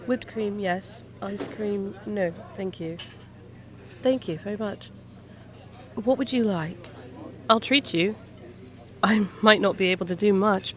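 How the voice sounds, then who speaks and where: A woman acting in an intimate audio roleplay as a kind, gently teasing private eye, talking softly-concerned and conversational to a stranger. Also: mid-range voice, lightly acted. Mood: polite, friendly. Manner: soft-hearted, hesitant, questioning, playful asides.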